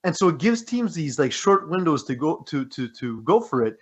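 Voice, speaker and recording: A man's voice: on the high side, very animated, normal speed, husky. A man co-hosting a sports podcast, talking casually and informally.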